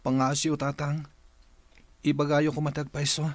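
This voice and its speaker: Guy playing a hurt obedient son in radio drama, kinda high male voice, pleading and earnest. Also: monotone, moderate pace, lightly acted.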